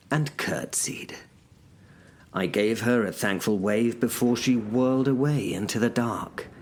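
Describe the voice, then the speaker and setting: An actor playing a man worn out from holding the abyss open, narrating a horror audio drama in a grim, hushed voice that often drops to a whisper. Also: fairly low pitch. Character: exhausted man who held the abyss open.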